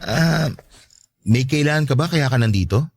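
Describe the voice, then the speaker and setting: A meek, homely stepfather in a radio love drama, played by a male actor. His polite, placating voice swings widely in pitch and sounds dry and close. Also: expressive, moderate pace, breathy edge, no room sound. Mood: polite, hospitable. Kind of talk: Tagalog radio-style love story drama.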